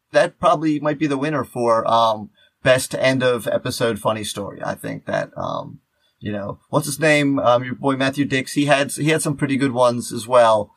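A man co-hosting a podcast in casual banter, joking and rambling in a halting way. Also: medium pitch, no echo. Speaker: podcast co-host.

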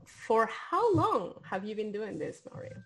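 A woman's voice: fairly high pitch, sing-song, a little slow, fairly bright tone. A woman co-hosting an interview podcast, reading an opening and welcoming and questioning a guest directly. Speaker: podcast co-host.

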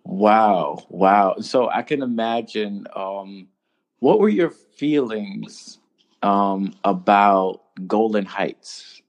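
A man's voice: on the low side, expressive, very slow. A man hosting a conversational podcast interview in an affirming, appreciative way. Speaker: podcast host.

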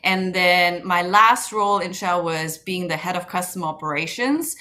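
A woman in her thirties who grew up in Germany speaks as a podcast guest. Her voice is bright and forward, sitting a little low. She tells her own story in an articulate, candid, conversational way. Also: moderate pace.

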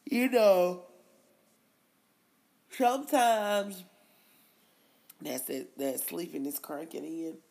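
A woman with a stuffy nose talks easily on a podcast. Her voice sits fairly low, and her speech is slangy and relaxed, broken up by frequent laughs. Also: extremely slow, creaky, pauses often. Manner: laid-back, slangy, laughs often. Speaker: podcast co-host. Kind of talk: casual chat.

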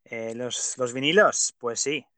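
A man's voice: medium pitch, takes it slowly, bright, forceful tone, no echo. A man from Pamplona hosting a podcast, chatting casually with plenty of hesitant fillers. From Pamplona, Spain. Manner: hesitant, many fillers, opinionated. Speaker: podcast host. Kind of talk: casual interview chat.

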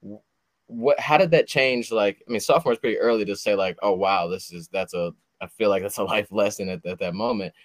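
A man's voice: fairly low pitch, brisk, no echo. A man in his thirties hosting an interview, chatting casually and rambling with lots of "like" and "you know". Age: 30s. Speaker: podcast host.

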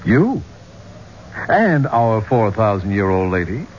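A flirtatious archaeologist's assistant in a 1970s radio drama. His voice is fairly low, with a breezy, familiar manner. The sound is dull with faint noise. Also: very animated, slow, a little mellow.

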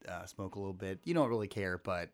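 A man's voice: sits low, quick pace. A man with a scratchy throat, hosting a casual sports podcast and rambling with many restarts. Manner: rambling, self-interrupting. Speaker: podcast host.

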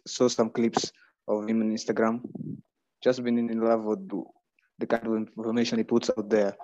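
A man introduces himself casually, in halting, loosely phrased sentences. His voice is low, mellow and flat, heard through muffled call audio. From Kenya. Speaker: podcast guest.

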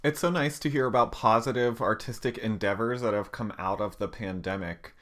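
A man interviewing a guest, asking polite, measured questions. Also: on the low side, a bit bright. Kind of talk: interview conversation.

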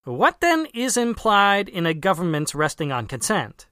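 A narrator reading a formal political essay aloud in a measured, emphatic way. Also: medium pitch, wildly swooping pitch, pushed and bright, dry recording, clean studio sound. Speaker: audiobook reader.

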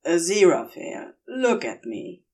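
Woman voicing a lower, worried, protective demon. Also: big pitch swings, unhurried, darkish tone, far from the mic. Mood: concerned. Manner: direct, concerned. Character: worried, protective male demon, plain-spoken.

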